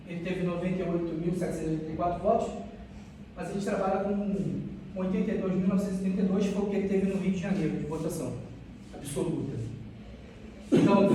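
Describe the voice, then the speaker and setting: A Brazilian man giving an academic research presentation as a student, haltingly, often repeating and restarting phrases. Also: high-pitched, dark tone. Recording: distant mic, very echoey room, faint noise.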